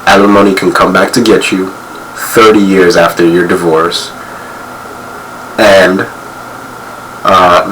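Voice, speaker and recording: A hoarse man who has partly lost his voice, speaking quietly in angry commentary. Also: sits low, distant mic, a little hiss or hum, poor audio. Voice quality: quiet, strained. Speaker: video commentator.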